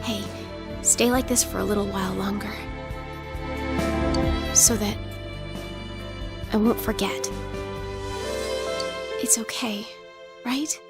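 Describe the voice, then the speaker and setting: Slow-speaking, guarded teenage girl. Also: medium pitch, steady tone. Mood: tender, pleading. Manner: slow, halting, intimate. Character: guarded, tender teenage girl, softly reproachful. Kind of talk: English anime game voice acting. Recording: prominent music behind the voice. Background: loud music.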